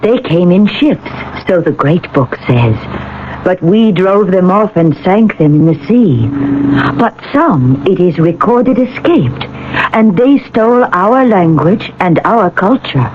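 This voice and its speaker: A woman's voice: lowish voice, animated, slow. An actress playing a solemn, mysterious young half-human woman of Atlantis, with formal, stilted phrasing, in vintage radio drama style. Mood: proud, grave. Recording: tinny, narrow, hissy old radio recording.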